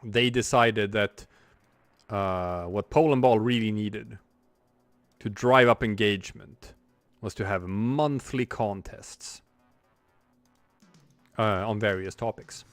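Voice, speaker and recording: A man's voice: fairly low pitch, takes it slowly, pauses often, no room sound, soft background music. A man in his forties, a streamer and comic artist, talking in a rambling, reflective way while he draws, with many restarts. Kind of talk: casual livestream chat while drawing.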